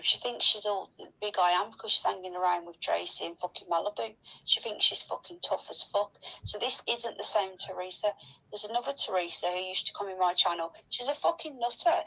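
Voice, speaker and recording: A woman's voice: medium pitch, gravelly, pushed and bright, slightly rough, low-quality recording. A woman ranting bluntly and aggressively with heavy swearing, in a replayed phone voice message. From Northern England.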